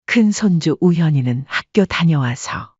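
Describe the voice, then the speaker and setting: A woman voices a sorrowful grandmother in her late sixties telling her story. The voice is husky, recorded close and dry with a slightly dull tone. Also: sits low, expressive, unhurried, darkish tone, no room sound, exaggerated voice. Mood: wistful, aggrieved. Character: sorrowful 68-year-old widowed restaurant-owner grandmother. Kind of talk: scripted first-person story narration.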